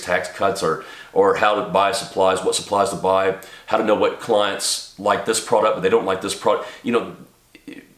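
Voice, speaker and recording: A man with a very low voice talks to camera, a little stuffed up from a cold. He rambles earnestly, with lots of ums and restarts. The room around him is echoey. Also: a bit bright. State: congested from a cold. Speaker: channel host and small business owner. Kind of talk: casual talk to camera. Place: home office.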